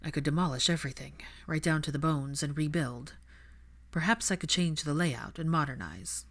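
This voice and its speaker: A woman narrator voicing a patient, wry young aristocratic father in a measured, dry way for a fan fiction reading. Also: low voice. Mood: calm, matter-of-fact.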